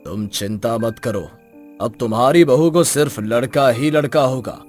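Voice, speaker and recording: A sinister sorcerer who steals children, played by a man, speaking with cold command and menace. His mid-pitched voice carries over faint background music. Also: moderate pace, darkish tone, lightly acted. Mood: menacing.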